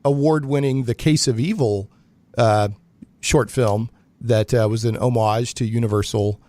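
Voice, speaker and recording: Casting: male radio host, chummy and rambling, middle-pitched voice, dry intimate recording. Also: steady tone, a little slow, a little mellow, dry recording. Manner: chummy, jokey, digressive. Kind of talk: casual, rambling interview chat.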